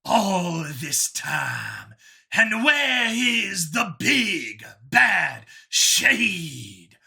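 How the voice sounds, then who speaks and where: A man voicing an arrogant, taunting alien demagogue preacher in an audio story, with bombastic, drawn-out oratory. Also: sits high, sing-song, gravelly, pushed and bright, voice pushed up, heavily acted. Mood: contemptuous, taunting. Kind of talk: audio story character, ranting speech.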